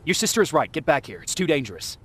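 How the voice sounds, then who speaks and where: A man's voice: a little high, sing-song, fast, a bit bright, breathy edge, full character voice. Distant, reserved male soldier. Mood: concerned, firm. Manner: reserved, terse. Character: calm, courteous young male resistance soldier. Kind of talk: dramatic video game acting. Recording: distant voice, background noise.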